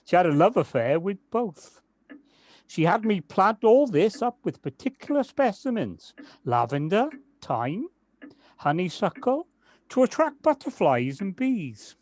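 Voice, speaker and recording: An actor playing a kindly, modest gardener in an audio drama, hesitant and polite with slight stammers. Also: male voice, high-pitched, sing-song, measured pace, darkish tone, no room sound. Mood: gentle, modest. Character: kindly, hesitant village gardener, Alan, modest and nature-loving. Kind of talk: amateur audio drama acting.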